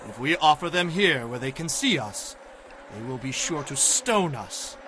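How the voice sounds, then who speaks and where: An actor playing a firm, solemn Hebrew prophet in a dramatized audio Bible, speaking in earnest declarations. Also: male voice, on the high side, big pitch swings, a little slow, noisy background. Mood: firm, warning.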